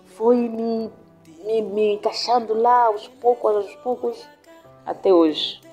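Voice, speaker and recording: A woman pastor whose first language is Swahili, giving an earnest, reflective testimony in an interview, pausing and restarting as she goes. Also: on the high side, steady tone, warm tone, slightly rough, faint music behind.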